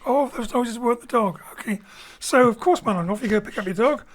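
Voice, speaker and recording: A woman's voice: on the high side, brisk. A woman hosting a live show, announcing and chatting with the cast. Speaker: host.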